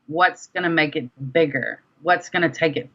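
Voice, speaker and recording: Low, bright-voiced woman on dull audio. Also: normal speed. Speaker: guest, independent music artist. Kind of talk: casual interview chat. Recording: dull, lo-fi sound.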